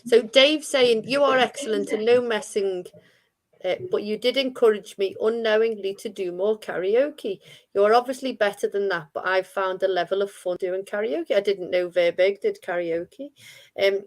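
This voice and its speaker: A woman's voice: mid-range voice, moderate pace. A woman hosting a casual radio chat, reading out listener messages in a chatty way. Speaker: radio host.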